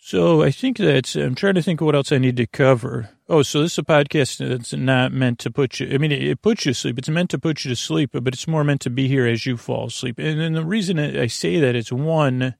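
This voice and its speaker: A man with a mid-pitched, creaky voice hosts a bedtime podcast. He rambles and mumbles through meandering digressions, often halting to restart a thought. The sound is very dry, with no room around the voice. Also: no room sound. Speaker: podcast host and bedtime storyteller. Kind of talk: rambling sleep podcast monologue.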